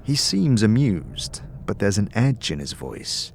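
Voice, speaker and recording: A man narrating a dark fantasy audio drama in a solemn, measured, dramatic way. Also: fairly low pitch, sing-song, gravelly, a little hiss or hum, full character voice. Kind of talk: scripted second-person audio drama narration. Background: music.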